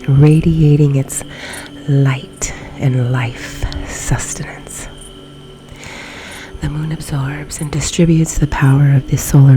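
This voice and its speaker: A woman leading a guided meditation in a soft, breathy, often near-whispered ASMR delivery, with long pauses between phrases. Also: sits low, poor audio. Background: background music.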